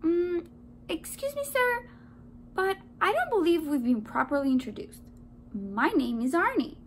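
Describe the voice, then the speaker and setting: A woman voicing an excitable, indignant little male donut in a children's storybook, with dramatic exclamations. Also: sits high, moderate pace, a little hiss or hum, voice pushed up. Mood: sweetly polite. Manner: dramatic, exclaiming, sometimes frantically whispering.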